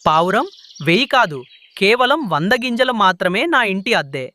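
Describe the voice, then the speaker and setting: A man's voice: high-pitched, expressive, moderate pace, pushed and bright. A man playing a sly, greedy crow landlord who wheedles and bosses in a children's cartoon. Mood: wheedling. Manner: scheming, wheedling, bossy.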